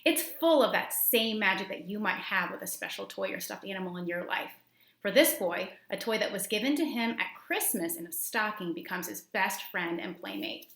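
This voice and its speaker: A woman's voice: mid-range voice, normal speed, fairly bright tone. Woman reading a storybook to children. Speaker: school librarian reading aloud. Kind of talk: children's storybook read-aloud.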